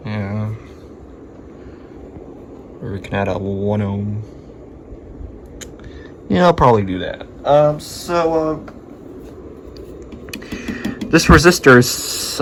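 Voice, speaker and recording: A man mutters his way through a hands-on repair, musing aloud in a casual, sweary way. His voice is quite creaky and he talks slowly, with clear noise behind him on a homemade video. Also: medium pitch. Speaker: hobbyist radio repairer filming his work.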